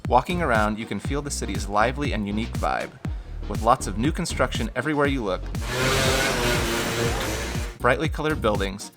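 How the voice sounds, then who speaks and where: A man co-hosting a travel vlog, hoarse from a cold, narrating in an upbeat, enthusiastic way. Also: medium pitch, moderate pace, pauses often, loud music behind the voice. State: hoarse, losing his voice. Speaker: travel vlogger co-host.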